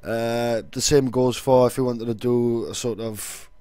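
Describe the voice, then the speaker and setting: Welsh guy just chatting through stuff for a video, normal pitch, dry sound. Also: steady tone, a little slow, warm tone, smooth, no room sound. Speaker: online video creator. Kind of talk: casual explanatory vlog.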